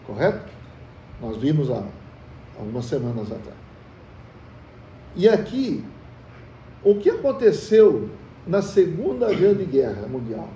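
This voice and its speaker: A man's voice: fairly high pitch, sing-song, takes it slowly, long pauses, dark tone. A man with a Brazilian Portuguese accent leading a Bible study, talking in a conversational way, quizzing the group with questions and calling them brothers. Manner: calls the listeners brothers. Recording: echoey room, from far off, faint hiss.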